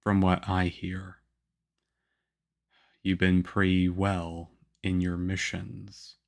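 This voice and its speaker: Male actor as aloof young swordsman mentor for ASMR roleplay: stern, flat, unhurried delivery, measured and harsh. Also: sits low, vocal fry, heavily acted. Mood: reserved, grudging approval. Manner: harsh, measured, deadpan, with grudging praise. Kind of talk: ASMR roleplay acting addressed to the listener.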